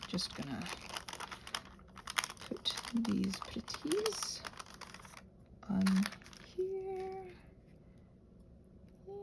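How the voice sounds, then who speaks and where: A woman giving a casual, rambling craft tutorial, chatty and thinking aloud as she interrupts herself with gentle reassurances. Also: on the high side, creaky, noisy background. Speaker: craft and ASMR channel creator, host.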